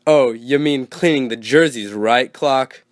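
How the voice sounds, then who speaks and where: A man's voice: mid-range voice, unhurried, dry recording, clean studio sound, close to an everyday voice. A man playing an eager, teasing 1920s radio sports co-host, peppy and earnest, full of period slang. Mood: wry.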